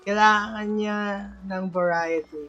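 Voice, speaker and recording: Unhurried Filipino woman, playful game streamer. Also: medium pitch, fairly even intonation, a bit bright, lo-fi sound, faint music behind. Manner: teasing, talks fondly to game characters. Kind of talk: casual playful gameplay commentary.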